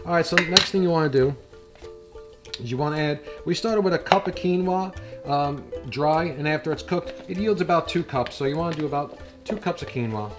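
A middle-aged man talks casually through a cooking demonstration in a home kitchen. He drops chatty, wisecracking asides as he goes. Guitar music plays clearly behind his voice. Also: fairly high pitch, brisk. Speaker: home cooking show host.

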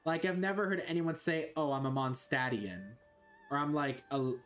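A young man from Florida streaming a video game from his bedroom, joking, swearing and reacting loudly. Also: on the high side, normal speed, faint music behind. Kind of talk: casual game-stream commentary. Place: small bedroom indoors. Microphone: desk mic, wearing a headset.

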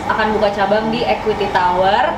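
A woman's voice: medium pitch, steady tone, a little fast. A woman, hosting a casual vlog chat with friends. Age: 20s. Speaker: host. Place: private dining room in a restaurant. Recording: echoey room, loud background noise.

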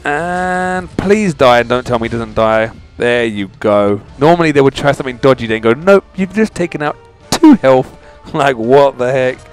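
A man's voice: mid-range voice, animated, moderate pace, clear, resonant tone, soft background music. Chatty man doing exasperated gameplay commentary. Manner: chatty, exasperated, addresses viewers as dudes. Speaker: gaming channel host. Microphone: voice-over over mobile game footage.

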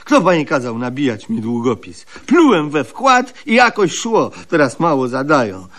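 An actor playing a pompous, suspicious, greedy private detective in a 1970s radio comedy, giving gruff orders and indignant complaints. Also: male voice, fairly high pitch, wildly swooping pitch, moderate pace, fairly bright tone. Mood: stern, aggrieved. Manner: gruff commands, swooping indignation.

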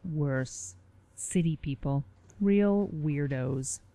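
Actress as older woman, low voice, sour, folksy gossip, dry close sound, radio play. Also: very slow, warm tone, no echo, exaggerated voice. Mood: gossipy disdain. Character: older, sour woman, small-town assistant hiding sourness.

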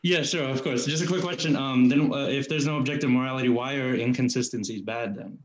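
A young man with a mid-pitched voice joins an online debate, hesitant and polite, hedging with pauses. He is heard through a webcam call. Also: rough recording. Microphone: webcam video call.

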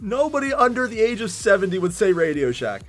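Animated, high-pitched young man reacting. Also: brisk, soft background music. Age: young adult. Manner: amused, exclamatory, laughs through words. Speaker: reaction video host. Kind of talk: casual reaction chat. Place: furnished room at home, on a couch.

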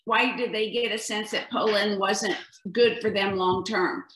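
A woman's voice: mid-range voice, fairly even intonation, a little slow, a bit bright. A woman interviewer introducing an oral history project and asking brief, direct questions. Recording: roomy echo.

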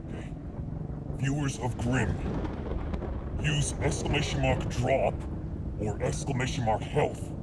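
A man streaming a game live, commenting excitedly and swearing and yelping whenever danger hits. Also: mid-range voice, slow, long pauses, very noisy. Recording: loud game music behind. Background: game music.

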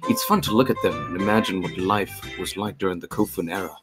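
A man voicing a polite, scholarly catboy gentleman, reading game lines in a formal, measured way. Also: sits low, soft background music, close to an everyday voice. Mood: polite, studious.

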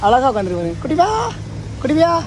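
A young man talks straight to his viewers on a roadside motorcycle trip, quick and casual. His voice sits very high. Wind and traffic are clearly heard around him. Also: big pitch swings, a little mellow. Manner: chatty, addresses viewers directly, calls to animals in a high sing-song. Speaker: motovlogger. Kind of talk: casual travel vlog narration. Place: outdoors by a roadside. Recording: wind and traffic noise outdoors.

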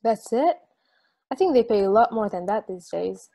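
A calm, plain-spoken football player on the run, read by a woman over a video call. Her voice is mellow and warm, sitting in a middle pitch. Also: moderate pace, creaky. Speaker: actor in a table read.

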